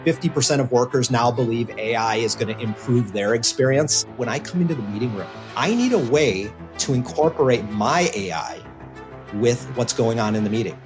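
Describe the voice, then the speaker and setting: A man's voice: mid-range voice, animated, normal speed, over music. A man speaking confidently in a podcast promo clip.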